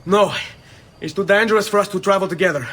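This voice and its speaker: A man's voice: high voice, quick pace, a bit bright. An actor playing an impetuous, earnest young nobleman in a video game, urgent and intense. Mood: shaken, urgent. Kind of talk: dramatic video game cutscene acting.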